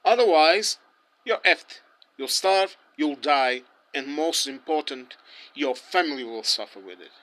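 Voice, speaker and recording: Guy whose first languages are portuguese and german, ranting slow and heated in english, slightly high voice. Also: lively intonation, a bit bright. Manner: heated, ranting, many restarts. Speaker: interviewee. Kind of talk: impassioned street opinion.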